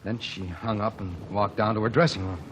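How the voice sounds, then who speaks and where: A man voicing a plain-spoken working-class stagehand giving testimony in a vintage radio drama. He speaks in a somewhat low voice, folksy and unpolished. A faint hiss sits under the recording. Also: steady tone, a little fast, close to an everyday voice, poor audio. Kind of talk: 1940s radio drama acting.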